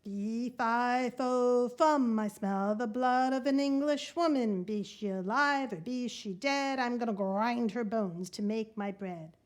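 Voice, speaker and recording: Woman doing a loud, booming greedy giant. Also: slow, few pauses. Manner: loud booming chant, roaring laughter. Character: huge greedy male giant, bellowing and gloating.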